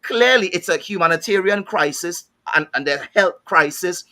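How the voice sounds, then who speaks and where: A man's voice: sits high, lively intonation, moderate pace, sharp tone. A man with a Trinidadian accent speaking on a panel discussion, thoughtful, often repeating words while he searches for the next thought. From Trinidad and Tobago. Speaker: panel guest.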